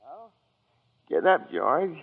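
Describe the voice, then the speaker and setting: An actor playing a gentle, patient elderly guardian angel, earnest, in 1940s radio drama style. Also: male voice, a little high, big pitch swings, slow, gravelly, slightly rough, exaggerated voice. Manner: mild, earnest, explaining patiently. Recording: tinny 1940s radio, reverberant, music behind.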